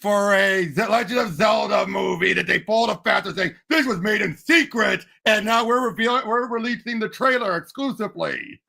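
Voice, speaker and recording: A man's voice: sits high, moderate pace, vocal fry, hardly any pauses, sharp tone. A man talking about movies as a podcast panelist, emphatic and eagerly repeating himself. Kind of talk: casual movie discussion.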